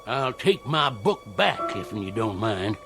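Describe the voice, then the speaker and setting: A man's voice: on the low side, big pitch swings, takes it slowly, creaky, music in the background, exaggerated voice. An actor playing a sly, boastful old country man with a folksy Southern American drawl in an audio drama. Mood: scornful. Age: older. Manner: folksy drawl, cackling, taunting. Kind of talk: old-time radio-style drama acting.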